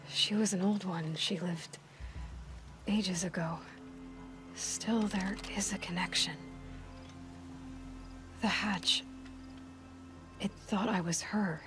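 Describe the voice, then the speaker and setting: A young huntress in a video game, grieving yet resolute. Her voice is lowish, soft and breathy, and she speaks very slowly in short, hushed, halting phrases. Prominent music plays behind her. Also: fairly even intonation. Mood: hushed, reflective. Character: grieving, resolute young female outcast hunter. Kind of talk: naturalistic video game acting, intimate monologue.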